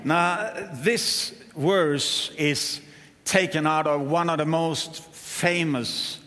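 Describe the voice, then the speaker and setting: Older Swedish-accented preacher in a reverberant hall. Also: male voice, a little high, fairly even intonation, extremely slow, a bit bright. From Sweden. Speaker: guest preacher. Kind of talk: sermon delivered in short phrases for an interpreter.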